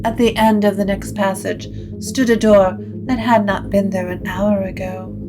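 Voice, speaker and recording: A woman narrating scripted supernatural fiction in a measured, literary storytelling manner. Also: medium pitch, fairly even intonation, music in the background, rough recording.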